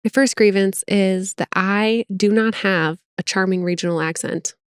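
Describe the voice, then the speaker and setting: A chatty middle-aged woman with a plain General American accent, co-hosting a podcast from behind a close microphone. Her talk is expressive and self-deprecating, pitched in a mid range. The sound is dry, with almost no room echo. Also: normal speed, smooth. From United States. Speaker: podcast co-host. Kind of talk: casual chat.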